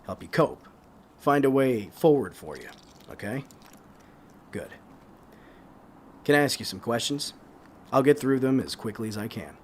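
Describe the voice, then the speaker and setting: An actor playing a gentle but persistent male government investigator in an audio drama, measured and polite. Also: medium pitch, slight background noise, natural voice. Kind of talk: naturalistic audio drama acting.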